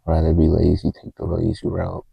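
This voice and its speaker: A man recording a heartfelt, halting confessional vlog on a handheld phone in a small closet, with a TV faintly audible from the next room. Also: a bass voice, completely flat delivery, gravelly, breathy edge, no room sound, dull audio. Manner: halting, heartfelt, stops often to find words. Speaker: vlogger. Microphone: handheld phone camera. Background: faint TV music from the next room.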